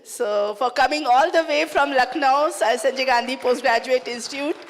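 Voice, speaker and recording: A woman's voice: high voice, normal speed. A woman with an Indian English accent, moderating a conference session in a chatty, hesitant way. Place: conference hall. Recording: reverberant hall.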